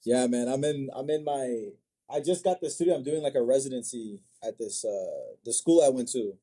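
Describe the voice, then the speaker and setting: Man with a very dark, mellow voice. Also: mid-range voice, moderate pace, husky. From Senegal. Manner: agreeable, slangy, repeats short affirmations. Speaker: guest, manga creator. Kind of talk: casual chat. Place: own art studio.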